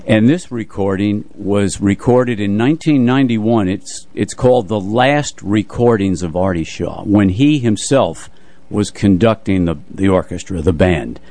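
Older man, low voice, dry close sound. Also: a little slow, dark tone, no room sound. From United States, New Jersey area. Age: 80s. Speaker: radio music show host. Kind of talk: casual reminiscing radio talk. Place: radio studio.

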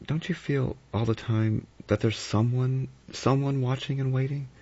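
Man voicing an anxious, pleading young woman, pitched up. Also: steady tone, a little slow, warm tone, dull audio, lightly acted. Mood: uneasy. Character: anxious young Englishwoman, frightened and insistent. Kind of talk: audiobook character acting.

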